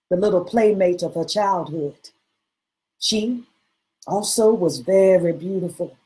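A woman giving a solemn, impassioned and deliberate dramatic reading of historical literature over a video call. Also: mid-range voice, a little mellow.

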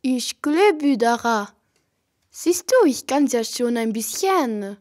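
A woman's voice: a little high, expressive, takes it slowly, a little mellow. A woman acting a curious, stubborn young foreign newcomer in an amateur radio play. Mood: curious, earnest.

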